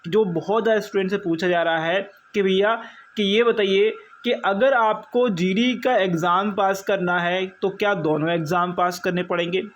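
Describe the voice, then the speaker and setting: A man with a high voice and an Indian accent teaches in an informal coaching style. He repeats key points, poses questions and answers them himself, and keeps dropping in short tags to check that students follow. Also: fairly even intonation, normal speed, slight background noise. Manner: repetitive, asks and answers own questions, frequent check-in tags. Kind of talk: informal exam-prep lecture.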